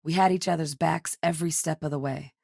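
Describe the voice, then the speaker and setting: An actress voicing an earnest female military commander in a video game, with a firm, measured delivery. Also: low-pitched, steady tone, gravelly, a little mellow, breathy edge, no room sound, studio quality, full character voice. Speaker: game character.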